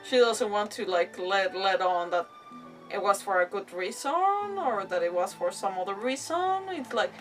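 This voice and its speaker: A woman's voice: mid-range voice, animated, normal speed, far from the mic, soft background music, poor audio. A woman game streamer giving casual live commentary, thinking aloud with exclamations and mild swearing.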